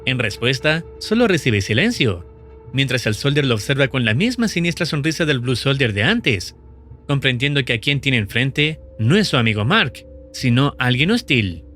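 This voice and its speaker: A man narrating in a steady, measured scripted voice-over, explaining the plot of a horror video series. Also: medium pitch. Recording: voice-over with faint music behind.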